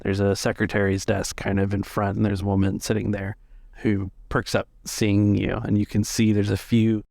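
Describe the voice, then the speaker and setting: A man narrating a tabletop role-playing game as game master, describing scenes haltingly in the present tense. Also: fairly low pitch, completely flat delivery, gravelly, a little mellow, dry recording, studio quality.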